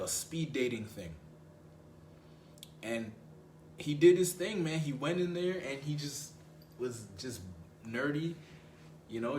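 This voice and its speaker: A young man with a low voice, giving dating advice in a casual, slangy way and often repeating himself for emphasis. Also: echoey room, a little hiss or hum. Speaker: dating coach.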